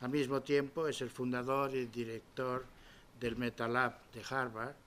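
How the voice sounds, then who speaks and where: A man's voice: medium pitch, flat delivery, unhurried, a little hiss or hum. A man giving an admiring formal introduction of a guest speaker at a university event, with a few restarts. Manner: warm, admiring, with small restarts and fillers.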